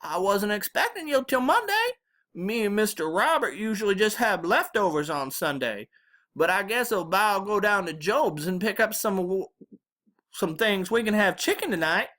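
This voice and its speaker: A woman's voice: medium pitch, animated, moderate pace, a bit bright, voice pushed up, lightly acted. A woman voicing a feisty, bossy old grandmother with a Southern American accent and a folksy, scolding, emphatic way of talking, as in a storybook. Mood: brisk, folksy.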